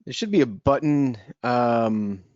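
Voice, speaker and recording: A man's voice: medium pitch, expressive, slow, darkish tone, highs cut off. A man hosting a webinar over a video call, introducing his guest in an easygoing, reassuring way. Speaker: webinar host. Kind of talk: casual chat.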